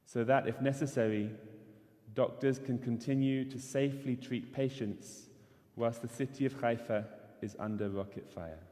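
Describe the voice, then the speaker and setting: A man giving a measured, earnest sermon as a rabbi in a synagogue. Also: medium pitch, warm tone. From not Israel. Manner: measured, earnest preaching cadence. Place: synagogue sanctuary. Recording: long hall reverb.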